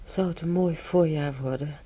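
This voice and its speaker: An actress playing a weary, confused old woman in pain, halting and repeating her words in a radio play. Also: sits low, mellow, breathy edge. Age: older. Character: frail old female hospital patient, weary, in pain, confused.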